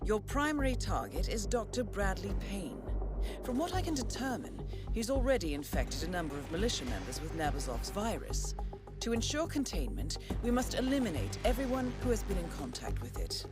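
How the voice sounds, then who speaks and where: An actress playing a composed, formal intelligence handler who gives measured, precise mission briefings in a video game. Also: female voice, mid-range voice, big pitch swings, close to an everyday voice. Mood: composed, businesslike. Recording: game cinematic with loud music bed. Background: loud music.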